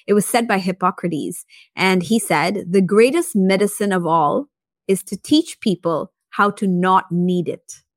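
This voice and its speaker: A woman hosting a podcast interview, talking thoughtfully and filling pauses with "you know". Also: medium pitch, no room sound, studio quality. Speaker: podcast host. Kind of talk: conversational interview.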